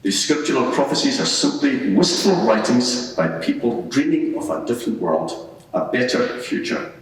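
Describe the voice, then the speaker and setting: A man's voice: medium pitch, sing-song, moderate pace. A man playing a scornful Roman procurator, arguing in formal, rhetorical court style in a large echoing room. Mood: scornful, dismissive. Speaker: advocate in a mock court inquiry. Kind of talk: courtroom cross-examination and closing submission. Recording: very echoey hall, far from the mic.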